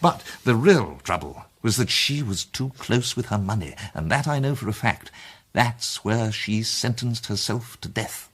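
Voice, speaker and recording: British amateur detective, radio drama: assured, theatrical, emphatic, lively inflection, slightly rough tone. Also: male voice, on the low side, a little slow, exaggerated voice. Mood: confident, explanatory. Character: confident amateur male detective laying out his case.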